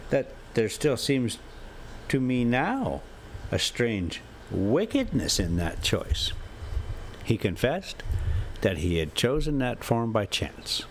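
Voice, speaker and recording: A man reading a classic story aloud in halting, deliberate phrases, with offhand asides. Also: medium pitch. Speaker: story reader and channel host. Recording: steady background noise while on the road. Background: motorhome driving ambience.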